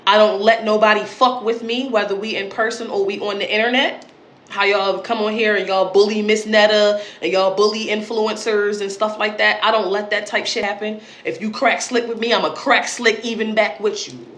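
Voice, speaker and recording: A woman with a deep voice, talking straight to camera in a blunt, emphatic monologue with plenty of swearing. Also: steady tone, moderate pace, fairly bright tone. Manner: blunt, emphatic and profane, with repeated punchy phrases. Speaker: online creator and educator. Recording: roomy echo.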